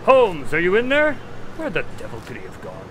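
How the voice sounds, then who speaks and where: An actor playing a loyal, practical doctor sidekick in a radio drama, proper and mildly exasperated in manner. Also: male voice, a little high, wildly swooping pitch, a little fast, fairly bright tone, slight background noise. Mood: curious, exasperated.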